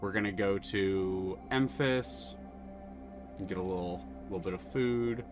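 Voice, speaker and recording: A man playing a video game on stream, thinking his moves through aloud and swearing when excited. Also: deep voice, measured pace, music in the background.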